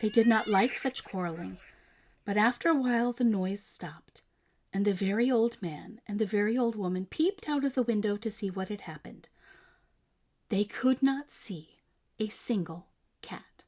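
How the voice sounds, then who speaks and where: A woman reading a picture book aloud as a storyteller, expressive and emphatic, with deliberate phrasing for children. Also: medium pitch.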